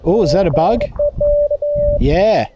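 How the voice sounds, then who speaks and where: Male, slightly higher-pitched, casual and distracted radio hobbyist muttering to himself, noticeable background noise. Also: animated, takes it slowly. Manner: distracted, thinking aloud. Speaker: amateur radio operator and vlogger. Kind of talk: casual muttered running commentary while operating.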